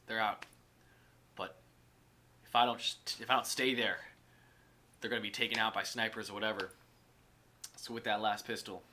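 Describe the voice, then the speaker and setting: Bright-voiced young man spinning a tale. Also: fairly low pitch. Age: young adult. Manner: animated storyteller, laughs often. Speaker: podcast co-host. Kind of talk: casual chat among friends.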